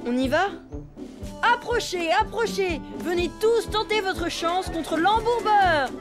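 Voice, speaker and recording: Cheeky cartoon elephant kid, very high voice, slangy, excitable, music underneath. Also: female voice, slow, heavily acted. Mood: taunting. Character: brash, cheeky young cartoon elephant boy. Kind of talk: French children's cartoon dubbing.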